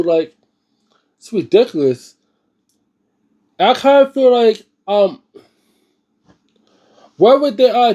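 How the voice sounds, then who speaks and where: A man with a notably high voice talks off the cuff as host of a casual video. He is halting and often repeats himself as he searches for words. His delivery is earnest, emphatic and slangy. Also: darkish tone. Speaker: host of a book reading and discussion series. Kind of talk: unscripted casual video commentary.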